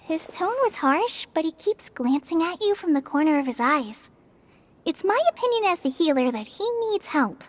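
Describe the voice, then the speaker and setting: A woman voicing a shy, anxious, soft-hearted young girl in a video game, timid and hesitant. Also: very high voice, very animated, normal speed. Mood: earnest.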